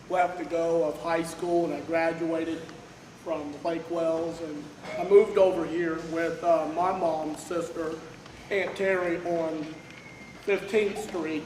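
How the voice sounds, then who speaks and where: A man in his thirties, originally from Kansas City, giving a loud, rambling tribute to his pastor without a microphone. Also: on the high side, flat delivery, takes it slowly, echoey room, slight background noise, low-quality recording. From Kansas City, Missouri. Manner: loud, unmiked, rambling with many "uh"s. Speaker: church member giving a tribute. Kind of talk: informal heartfelt tribute speech. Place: large church fellowship hall. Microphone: no microphone, picked up from across the room.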